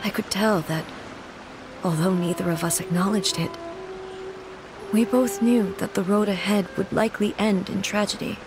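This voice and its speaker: A woman voices an immortal shogun from a fantasy game, speaking in a mid-pitched, formal voice. She is stately and composed, carrying old sorrow in measured words. Music plays clearly behind her. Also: steady tone, lightly acted. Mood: grave. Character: solemn, regal immortal female shogun, grieving yet composed. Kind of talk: video game voice acting, formal dialogue.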